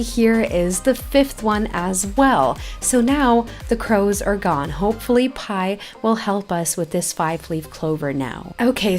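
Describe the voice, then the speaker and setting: A woman hosting a game video, chatting casually and speaking directly to viewers as she plays. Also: a little high. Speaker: gaming video host. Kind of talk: casual game playthrough commentary.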